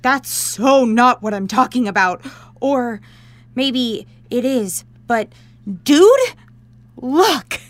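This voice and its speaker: A young woman playing a bossy, excitable teenage amateur scientist in an audio drama, sarcastic and mock-formal. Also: fairly high pitch, takes it slowly, no room sound. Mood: exasperated. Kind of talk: naturalistic audio drama acting.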